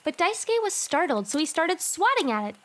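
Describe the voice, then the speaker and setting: A woman's voice: very high-pitched, big pitch swings, a little fast, a bit bright, full character voice. A woman acting a sweet, easily exasperated teenage girl in a fan audio drama, casual and girlish. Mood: storytelling.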